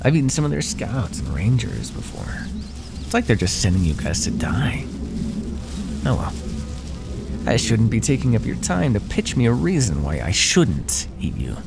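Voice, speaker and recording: A man's voice: on the low side, huge pitch swings, moderate pace, vocal fry, music in the background, exaggerated voice. A man voicing a smug, predatory naga who teases and threatens with mocking condescension in an ASMR fantasy roleplay. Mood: casual menace. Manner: mocking, condescending, drawling pet names. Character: smug predatory male naga, teasing and menacing.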